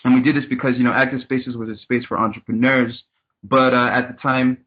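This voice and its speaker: A man from Cameroon talks through his background as an interview guest, measured and often repeating words while he thinks. His tone stays flat and even. He comes through a tinny, phone-like line. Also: mid-range voice, husky. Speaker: guest, startup consultant. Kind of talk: casual interview.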